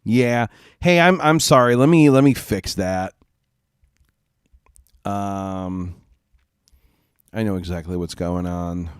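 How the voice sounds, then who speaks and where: A congested man with a stuffy nose talks slowly and loosely while hosting his live stream, apologizing and trailing off as he goes. His voice sits on the low side, and the sound is dry and close. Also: clean tone, no echo. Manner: rambling and apologetic, many fillers and restarts. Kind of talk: casual live-stream chat. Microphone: home streaming mic.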